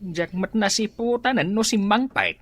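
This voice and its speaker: A woman's voice: medium pitch, normal speed, dry recording, close to an everyday voice. A woman playing a grumbling elderly parent of grown daughters in an Ilocano radio comedy-drama. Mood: complaining.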